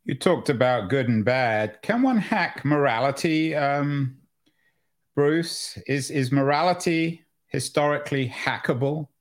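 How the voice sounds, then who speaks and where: A man hosting a conversational interview, building his questions haltingly with many hesitations. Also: on the high side, animated. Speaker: podcast host interviewer.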